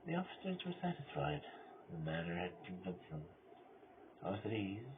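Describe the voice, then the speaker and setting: A man playing a cunning, mad murderer who confesses in a theatrical gothic horror reading, swinging between hushed control and frenzy. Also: low-pitched, very animated, normal speed, full character voice. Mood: smug, controlled. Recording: tinny band-limited audio with clear noise.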